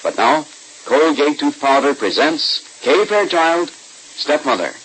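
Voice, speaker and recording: Animated old-time radio announcer man. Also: fairly high pitch, slow, breathy edge, rough recording. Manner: polished, emphatic, salesmanlike. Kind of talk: 1940s radio scripted narration and ad read.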